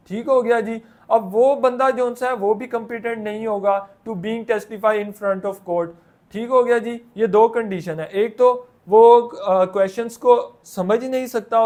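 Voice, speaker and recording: A man with a Pakistani accent teaching law, explaining step by step in simple terms and often repeating himself. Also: very high-pitched. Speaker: law lecturer.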